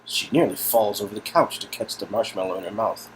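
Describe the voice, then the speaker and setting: A man narrates a scripted game story. His voice is on the low side. There is some noise in the background. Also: a little fast, rough recording.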